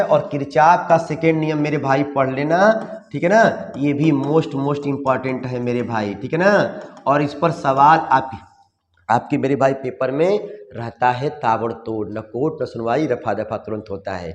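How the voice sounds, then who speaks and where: A slightly hoarse man, teaching a lively physics exam revision lesson with folksy coaching and constant tag questions. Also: mid-range voice, unhurried. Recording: roomy echo, long reverb.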